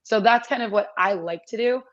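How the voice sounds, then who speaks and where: Raspy upbeat young woman on a video call. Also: mid-range voice, fairly quick, fairly bright tone, lo-fi sound. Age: 20s. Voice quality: slightly raspy. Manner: upbeat, chatty, with playful voiced asides. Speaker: competition MC, guest. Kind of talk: casual interview on a video call. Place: small indoor room.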